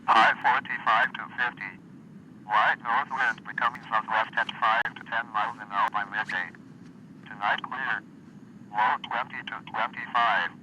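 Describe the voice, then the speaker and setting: A synthesized male computer voice reading an automated weather broadcast in an even, clipped, word-by-word delivery, off a lo-fi radio recording. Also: mid-range voice, unhurried. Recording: dull lo-fi radio recording with faint hiss.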